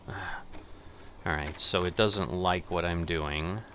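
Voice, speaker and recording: A middle-aged man giving casual gameplay commentary and controller commands, thinking aloud in an unhurried way. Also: deep voice, steady tone, a bit bright, a little hiss or hum. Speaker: gaming channel host playing air traffic controller.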